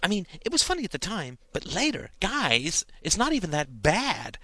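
A man's voice: high-pitched, wildly swooping pitch, moderate pace, sharp tone, dry recording, pitched above the performer's own voice, exaggerated voice. A man voicing a gossipy, giggly teenage girl chatting in slangy audiobook dialogue. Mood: gossipy, excited. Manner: chatty, slangy, girlish delivery.

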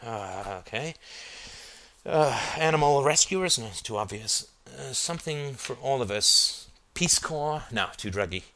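A man voicing a brooding, sardonic young boy band singer who talks in halting, musing phrases as in an audiobook. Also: medium pitch, bright, forceful tone. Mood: musing, hesitant. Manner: halting, muttering, thinking aloud.

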